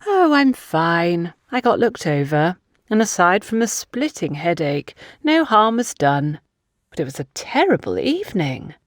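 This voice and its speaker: Gossipy older society matron played by a female narrator, emphatic and insistent; animated pitch, dry audiobook sound. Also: unhurried, dry recording. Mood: reassuring, shaken. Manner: emphatic, chatty, dramatic.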